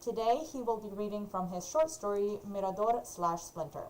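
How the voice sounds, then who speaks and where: A woman's voice: mid-range voice, moderate pace, darkish tone, rough recording. Woman host, online event intros, hesitant delivery with fillers. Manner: hesitant, many uhs. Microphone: video call.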